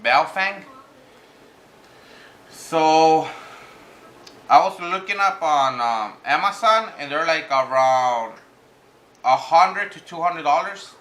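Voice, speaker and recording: A man's voice: a little high, expressive, takes it slowly, pauses often, fairly bright tone. A man giving a casual unboxing review to viewers, speaking hesitantly and slipping between two languages. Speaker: vlogger. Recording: echoey room with faint background noise.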